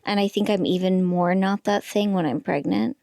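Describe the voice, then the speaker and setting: A woman's voice: mid-range voice, fairly even intonation, normal speed, creaky, mellow, dry recording, clean studio sound. A woman in her thirties, a stand-up comic and pregnant guest, chatting casually with a small, light voice, laughing often and trailing into fillers. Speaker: guest comedian. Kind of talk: casual podcast chat.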